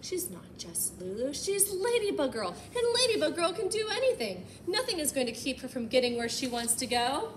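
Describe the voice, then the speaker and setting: A woman with a fairly high voice reads a story to young children as a storytime host. Her delivery is expressive and emphatic, slipping easily into chatty asides. The recording has clear background noise around her voice. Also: normal speed, hardly any pauses. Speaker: library storytime host reading a picture book aloud.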